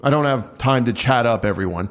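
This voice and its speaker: An actor as a blunt, guarded patrol cop. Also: male voice, medium pitch, a little fast, close to an everyday voice. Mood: defensive. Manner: offhand, reluctant, plain-spoken. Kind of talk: naturalistic audio drama acting.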